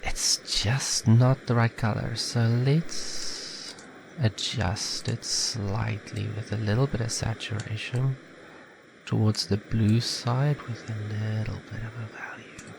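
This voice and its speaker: A man with a slightly nasal, stuffed-up voice from a head cold, giving a relaxed, chatty step-by-step software tutorial. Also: on the low side, slow pace, vocal fry, noisy background. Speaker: tutorial maker. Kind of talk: casual screen-recorded software tutorial.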